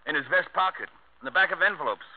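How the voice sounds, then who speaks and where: A man's voice: a little high, expressive, quick pace, a little hiss or hum, natural voice. A man playing a timid, nervous bank teller son in a 1950s radio drama, hesitant and stammering. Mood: defensive, uneasy. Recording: tinny old radio broadcast recording.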